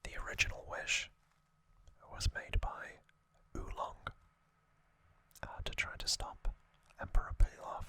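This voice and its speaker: A man hosting ASMR, explaining trivia informally in a soft, unhurried, breathy whisper. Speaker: ASMR video host.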